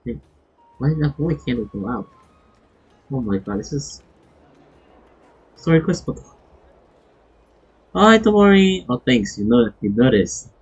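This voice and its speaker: A man's voice: medium pitch, sing-song, a little slow, pauses often, husky. A man with a Filipino accent giving casual, chatty and reactive commentary on a game livestream, switching between languages. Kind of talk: casual live gameplay commentary. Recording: dull lo-fi stream audio. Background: game music.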